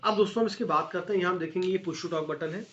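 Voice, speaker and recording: A man's voice: high-pitched, quick pace, a bit bright. A man with an Indian accent giving a casual, step-by-step product review, mixing English phrases in and addressing viewers as friends. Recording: dull lo-fi sound, faint noise, clicks.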